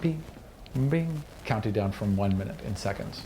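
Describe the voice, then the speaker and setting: A man improvising narration as game master of a tabletop fantasy game, casual and animated, acting out sound effects and reactions. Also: on the low side, normal speed. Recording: clearly noisy recording.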